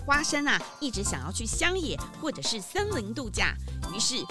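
一位女性旁白，以講故事的口吻為兒童廣播劇唸稿敘述。. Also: female voice, on the high side, big pitch swings, moderate pace, over music. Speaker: narrator. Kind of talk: scripted children's story narration.

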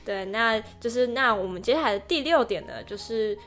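A woman's voice: fairly high pitch, expressive, fairly quick, a bit bright, faint music behind. A young woman with a Taiwanese Mandarin accent, co-hosting a podcast and chatting casually through prepared points. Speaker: podcast co-host.

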